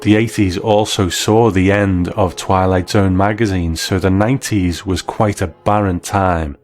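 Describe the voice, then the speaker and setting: Low-voiced man narrating as host. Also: fairly even intonation, unhurried. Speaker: host, interviewer. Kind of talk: scripted documentary narration.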